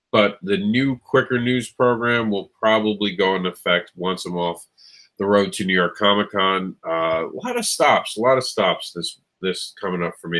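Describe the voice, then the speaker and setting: A man's voice: lowish voice, fairly bright tone. Nasal man with a lingering cold. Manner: rambling, many ums and restarts. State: has a cold, scratchy throat. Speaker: show host. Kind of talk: casual livestream chat.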